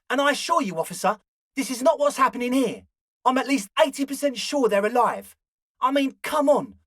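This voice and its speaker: A man's voice: extremely high pitch, a bit bright, dry recording, clean studio sound, full character voice. A man voicing an eager, flaky young male slacker ringleader in a video game, talking in an earnest, ingratiating, hedging way. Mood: earnest, placating. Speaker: voice actor. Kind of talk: video game character dialogue.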